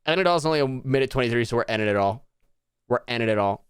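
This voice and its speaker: A man's voice: medium pitch, lively intonation, very fast, clean tone. A man hosting a livestream with casual, profane reaction commentary, thinking aloud informally. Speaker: livestream host.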